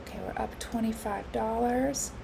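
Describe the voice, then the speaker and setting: A woman live-streaming her futures trading, congested and hoarse from allergies, thinking aloud with lots of fillers and restarts. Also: on the high side, fairly even intonation, normal speed, background noise. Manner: musing, with frequent fillers and restarts.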